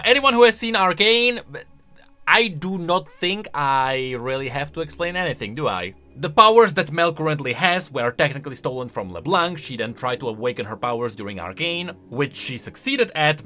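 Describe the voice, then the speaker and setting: A man's voice: fairly high pitch, pushed and bright. A man giving chatty, casual commentary on video game lore, often asking rhetorical questions with emphatic delivery.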